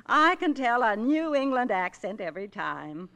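A woman's voice: a little high, sing-song, normal speed, fairly bright tone. An actress playing a fretful boarding-house landlady, flustered and chatty, in a 1950s radio drama. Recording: 1950s radio broadcast recording.

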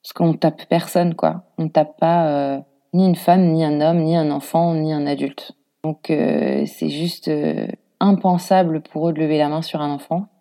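Low, mellow French woman, conversational. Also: steady tone, measured pace. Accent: French from France. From France. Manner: informal, reflective, thinking aloud. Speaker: interview guest, expatriate mother; preschool worker. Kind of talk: casual conversational interview.

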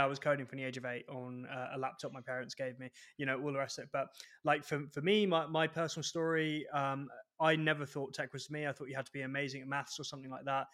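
Chatty male podcast host, higher voice. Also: a bit bright. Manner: chatty, laughs easily, fillers. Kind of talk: casual podcast interview.

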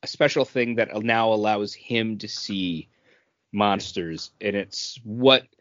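A man's voice: on the low side, slow, fairly bright tone, dry recording. A man co-hosting a podcast, explaining things in a casual conversational style. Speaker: podcast co-host. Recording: dull, dry lo-fi audio.

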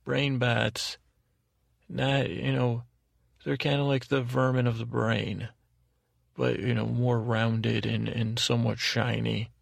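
Male bedtime podcast host, heavily creaky, narrow droning delivery, self-deprecating rambler who trails off and circles back. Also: mid-range voice, level tone, no room sound. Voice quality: gravelly, creaky. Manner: meandering, halting, deliberately dull drone.

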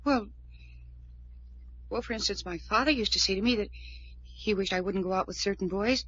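Young female for vintage radio mystery: demure, hesitant, protesting, husky edge, dull old broadcast sound. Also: mid-range voice, moderate pace, a bit bright, dry recording. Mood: earnest, flustered. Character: earnest, reluctant young woman under questioning. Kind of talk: 1940s radio drama acting.

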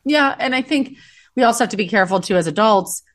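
A middle-aged woman with a loud voice, a former teacher speaking as a podcast guest, blunt and emphatic, repeating her points for force. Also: fairly high pitch, animated, quick pace. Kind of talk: candid podcast conversation.

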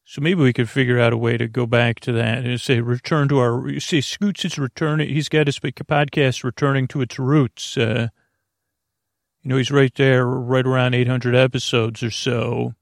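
Man, gravelly voice, wandering bedtime talk, stumbling restarts, dead dry sound. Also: medium pitch, flat delivery, moderate pace, dry recording. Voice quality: creaky. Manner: meandering, hesitant, many fillers and restarts. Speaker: sleep podcast host. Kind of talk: rambling bedtime monologue.

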